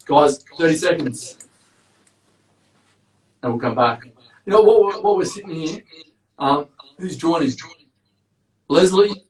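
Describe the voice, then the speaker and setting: A man's voice: fairly high pitch, animated, measured pace, pauses often, slight background noise. A man with a big, carrying voice hosts a casual live interview. He talks in an upbeat, chatty way. He sits back from the mic, and the room has a strong echo. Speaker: host. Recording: echoey room, distant mic.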